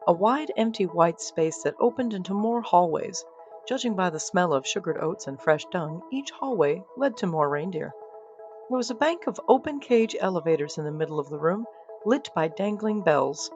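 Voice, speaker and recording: A woman's voice: fairly low pitch, darkish tone, lo-fi sound. A woman narrating scripted comic fiction as a storyteller, with wry, dry asides.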